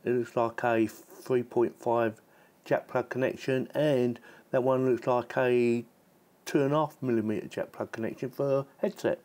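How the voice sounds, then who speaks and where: A man's voice: medium pitch, steady tone, normal speed, darkish tone. A man giving a casual, chatty hands-on product demonstration, informal and given to repeating himself. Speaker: product reviewer.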